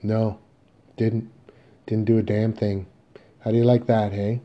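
A man's voice: fairly low pitch, flat delivery, unhurried, dull audio, natural voice. A man playing a self-loathing, bitter and determined grown son, speaking bluntly and defiantly.

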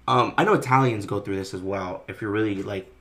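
A man co-hosting a casual podcast, telling animated family stories with plenty of swearing. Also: lowish voice, brisk. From Greek-American family. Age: adult. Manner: animated, profane storytelling, swings between loud and quiet. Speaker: podcast co-host. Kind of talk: casual chat.

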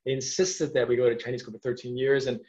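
A man's voice: medium pitch, flat delivery, quick pace, darkish tone. New York-born male podcast host, eager and long-winded, on a dull lo-fi recording. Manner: enthusiastic, rambling questions. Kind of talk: casual podcast interview. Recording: dull lo-fi sound.

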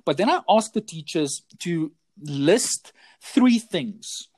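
South african guy, afrikaans first language, chatty podcast guest, kinda high pitched, no room sound. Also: animated, slow, darkish tone, slightly rough, no room sound. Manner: chatty, repeats words and restarts often. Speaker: guest, teacher trainer. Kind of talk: casual podcast interview.

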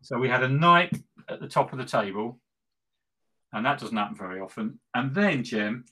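A man's voice: medium pitch, expressive, moderate pace, pushed and bright, rough recording. A middle-aged man chatting casually about football as a podcast co-host, gleeful and tongue-in-cheek, repeating himself for emphasis. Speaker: football podcast co-host and West Ham fan.

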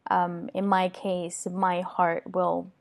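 A woman's voice: fairly low pitch, monotone, slow pace, creaky. A woman from Venezuela, interviewing in an earnest, emotional way with long winding questions. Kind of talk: conversational interview.

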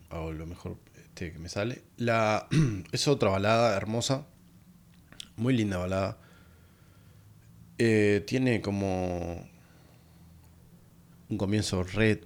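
A man hosting a solo podcast, casually reviewing an album and often pausing to find his words. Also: fairly low pitch. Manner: relaxed and rambling, many pauses to find words.

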